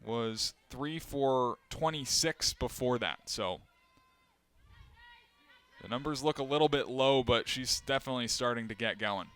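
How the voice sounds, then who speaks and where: A young man calling live games as a play-by-play announcer, steady between plays and climbing sharply in pitch and volume on big moments. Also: a little slow, clear, resonant tone. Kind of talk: live sports play-by-play commentary.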